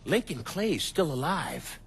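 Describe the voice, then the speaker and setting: Weary old mob boss, widely swinging pitch. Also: male voice, sing-song, slow pace, noisy background. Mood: grave. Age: older. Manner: gruff, profane, lecturing. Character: weary, bitter old crime boss and father. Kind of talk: cinematic game acting. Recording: music behind the voice.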